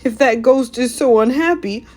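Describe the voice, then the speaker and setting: A woman's voice: fairly high pitch, darkish tone, pitched above the performer's own voice, close to an everyday voice. A woman voicing a goofy, cowardly young man, a cartoon sidekick, whiny and exclamatory, in a read-aloud storybook. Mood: nervous. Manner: exclamatory, drawn-out, peppers talk with "like".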